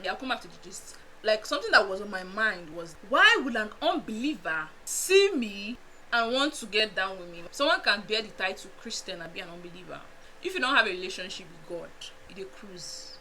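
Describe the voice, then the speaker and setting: Young nigerian girl doing a storytime vlog, bright voice, laughs a lot, little bit of background noise. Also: mid-range voice, fairly quick, rough recording. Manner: animated, laughs often, chatty asides to viewers. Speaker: vlogger. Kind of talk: casual storytime chat.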